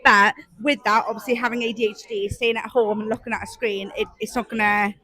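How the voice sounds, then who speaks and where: A woman's voice: fairly high pitch, steady tone, a little fast, pushed and bright. A woman hosting a casual podcast interview, bubbly and chatty with frequent giggles. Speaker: podcast host. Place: community event.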